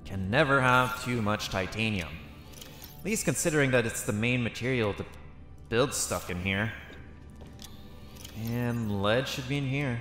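A man's voice: mid-range voice, measured pace, long pauses, fairly bright tone, faint music behind. A man thinking aloud in character while he plays a survival game, musing and hesitant as he goes. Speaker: roleplay gaming channel host.

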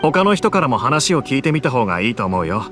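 A man's voice: medium pitch, big pitch swings, fast talker, over loud music, close to an everyday voice. An actor playing a friendly, observant young townsman in a video game, speaking in an easygoing, thoughtful way.

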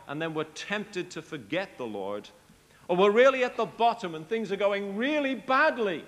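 Middle-aged British preacher, animated storyteller, fairly high voice, long tent reverb. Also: male voice. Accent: British English. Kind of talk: sermon. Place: large convention tent.

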